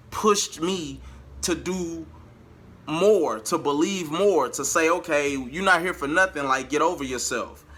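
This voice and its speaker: A male vlogger recounts things in a casual, slangy way. His voice sits a little high and has a bright, punchy edge. He talks straight to his viewers and acts out bits of dialogue as he goes. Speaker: vlogger and amateur singer.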